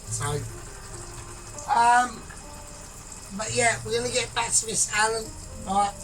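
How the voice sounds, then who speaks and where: Slow, croaky woman cooking. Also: a little high, fairly even intonation. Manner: rambling, keeps asking "right?", repeats herself. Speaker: home cook and vlogger. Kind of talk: casual cooking commentary, judging a bake-off. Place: home kitchen while cooking. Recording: distant mic with clear music behind. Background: music.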